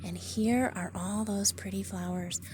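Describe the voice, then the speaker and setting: A woman with a mid-pitched voice reads a children's picture book aloud outdoors. She talks like a teacher, pausing to explain things in simple, chatty words for young listeners. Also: fairly even intonation, noisy background. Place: outdoors in a backyard garden.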